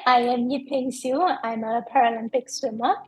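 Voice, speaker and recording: A young woman from Singapore, answering interview questions thoughtfully, with pauses and fillers. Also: on the high side, fairly even intonation, faint music behind, poor audio. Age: young adult. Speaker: Paralympic swimmer, guest.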